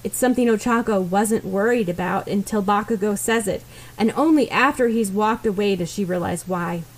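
A woman's voice: mid-range voice, normal speed, low-quality recording. A woman reading fan fiction aloud as scripted story narration. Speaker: fan fiction podfic reader.

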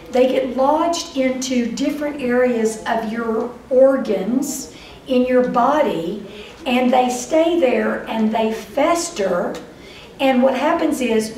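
Older woman, texas accent, high voice, chatty talk to a crowd, roomy echo, heard from afar. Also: far from the mic. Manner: lively, chatty, addresses audience directly, acts out voices of dreams. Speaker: lecturer. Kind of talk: animated lecture to a live audience. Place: meeting room before a whiteboard. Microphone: far camera.

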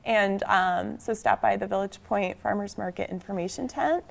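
A woman's voice: mid-range voice, moderate pace, gravelly. A young woman guest on a morning TV show, chatting with many ums in a studio. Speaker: farmers market guest. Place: TV studio set.

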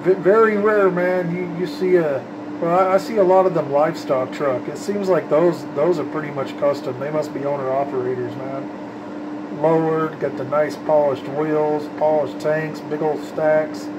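Man, higher-pitched voice, folksy CB slang, casual radio chat, loud vehicle and fan noise. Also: fairly even intonation, moderate pace. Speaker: CB radio operator and vlogger.

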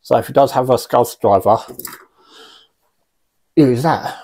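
Mellow, chatty man. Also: mid-range voice, a little slow. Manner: chatty, informal, rambling. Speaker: radio hobbyist presenting an unboxing. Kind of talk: casual hands-on product demonstration. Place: small indoor radio room.

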